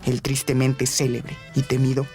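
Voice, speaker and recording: Even-toned male narrator over music. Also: mid-range voice, normal speed. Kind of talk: scripted documentary radio narration, reading press quotes.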